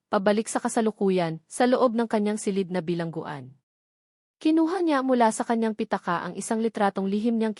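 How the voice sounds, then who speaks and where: A woman with a Filipino accent narrates a scripted romantic drama in a measured, dramatic storytelling style. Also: medium pitch, darkish tone, dry recording, clean studio sound.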